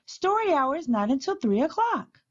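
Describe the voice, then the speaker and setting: A woman's voice: sits high, big pitch swings, lo-fi sound, voice pushed up. Woman acting a stern, proper lady head librarian. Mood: brisk, firm. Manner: firm, commanding, measured.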